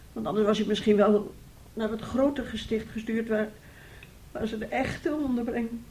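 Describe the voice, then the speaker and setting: A troubled, motherly aunt in a radio drama, acted by a woman. Her voice sits fairly high, and she soothes and recounts with halting, emotive phrasing. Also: darkish tone, close to an everyday voice, low-quality recording. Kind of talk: Dutch radio play acting.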